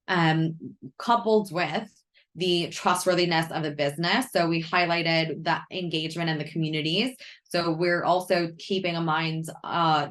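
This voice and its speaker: A woman who is losing her voice gives a friendly, explanatory webinar talk about marketing, sounding hoarse and naturally deep. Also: a bit bright. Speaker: customer success head presenting a webinar.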